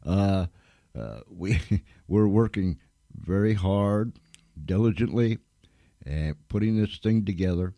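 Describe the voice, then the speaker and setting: A man's voice: sits low, slow pace, long pauses, dark tone, no echo, clean studio sound. A man hosting an informal live radio show, speaking to listeners in a folksy, effusive way and repeating phrases for emphasis. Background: music.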